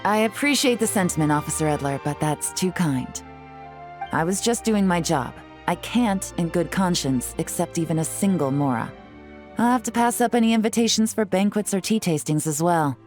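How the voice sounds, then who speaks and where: Game dub: actress voicing a poised woman agent, teasing, lower voice. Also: lively intonation, normal speed, darkish tone, faint music behind, natural voice. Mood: polite. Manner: smooth, composed, lightly teasing.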